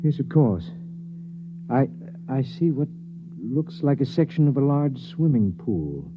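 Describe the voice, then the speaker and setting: Earnest old-radio professor, halting, amazed, very expressive up and down, tinny hummy recording. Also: male voice, mid-range voice. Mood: fascinated, amazed. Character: earnest male professor, veteran scientist, hesitant and astonished. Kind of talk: mid-century radio drama acting. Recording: thin noisy old radio recording with hum.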